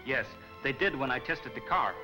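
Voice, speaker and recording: An actor playing a loyal, helpful inventor sidekick in a 1940s film serial. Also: male voice, medium pitch, expressive, normal speed, over music, lightly acted. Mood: eager, respectful.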